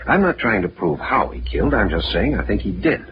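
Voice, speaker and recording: Deep-voiced, methodical radio detective. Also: male voice, sing-song. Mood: grave, reasoning. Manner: deliberate, methodical, authoritative explaining. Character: Jack, level-headed male detective leader, reasoning out murders. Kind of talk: 1940s radio acting. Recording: noisy, muffled old-time radio recording.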